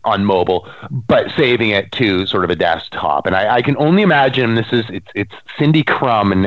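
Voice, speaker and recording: A man's voice: deep voice, dry recording, muffled recording. Stuffy man rambling on a chat show. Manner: rambling, searching for words. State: stuffy, getting over a cold. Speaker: podcast co-host. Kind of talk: casual chat.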